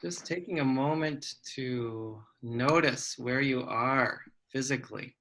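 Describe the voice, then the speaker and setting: A man leading a guided meditation, giving gentle, measured instructions with long pauses between phrases. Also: medium pitch.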